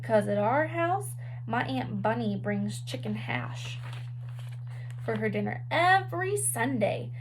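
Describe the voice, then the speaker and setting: A woman's voice: fairly high pitch, big pitch swings, takes it slowly, a little hiss or hum, low-quality recording. A woman voicing an earnest, clueless, proud little boy in a children's storybook, guileless and matter-of-fact.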